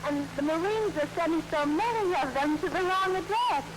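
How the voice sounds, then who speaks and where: A woman's voice: very high-pitched, normal speed. A woman playing a joke-telling sidekick in a 1940s radio comedy. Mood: joking. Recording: noisy old radio broadcast.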